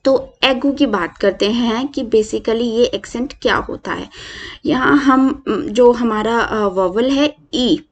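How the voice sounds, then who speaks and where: Slow-speaking woman teaching a lesson. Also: on the high side. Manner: slow, explanatory, mixing Hindi and English with fillers. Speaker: French language teacher. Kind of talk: online lesson.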